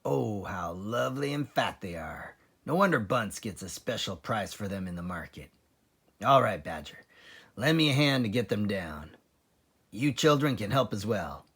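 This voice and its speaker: A man's voice: mid-range voice, creaky, fairly bright tone, slightly rough, heavily acted. A man voicing a cunning, bossy, food-loving father fox in a children's storybook, with a relishing, exclamatory manner.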